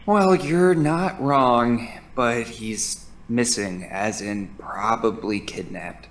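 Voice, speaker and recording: Man acting as amateur sleuth, earnest, fussy, self-important, middle pitch, scripted podcast drama monologue. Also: slow pace. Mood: businesslike, fussy. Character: earnest, self-important male keyboardist turned amateur investigator. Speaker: podcast host and synth player.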